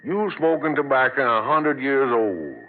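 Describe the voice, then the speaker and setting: A chatty country filling-station attendant in a vintage radio play. His mid-pitched voice swings up and down freely, folksy, rambling and curious, over a tinny, narrow-band old recording. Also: lively intonation, unhurried, a little hiss or hum. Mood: puzzled, curious. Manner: folksy, rambling, plain-spoken. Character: folksy, curious, talkative male rural gas station attendant. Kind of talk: 1940s radio drama acting.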